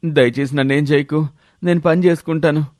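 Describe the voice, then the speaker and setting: A man voicing a lazy, complaining villager in an animated moral story, with a whining manner. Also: a little high, fairly quick, no echo, studio quality, close to an everyday voice. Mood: pleading.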